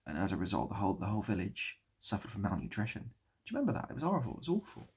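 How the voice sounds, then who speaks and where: A British man improvising a rambling story and chatting as a video host, mock-nostalgic and often repeating his phrases, with a husky, gravelly voice. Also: lowish voice, wildly swooping pitch, rapid-fire. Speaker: online video creator and host.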